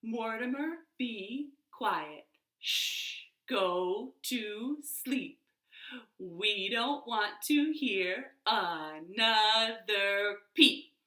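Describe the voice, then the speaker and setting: Woman as bossy big sister, bright voice. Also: medium pitch. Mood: stern, hushing. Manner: emphatic, drawn-out hushing. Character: bossy, fed-up older sister. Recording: roomy, echoey home recording.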